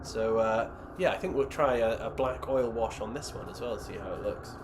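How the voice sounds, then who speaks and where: A man's voice: medium pitch, moderate pace, background noise. A man on a live stream, chatting casually and reading chat aloud, rambling and self-deprecating, while losing his voice. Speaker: streamer building miniatures.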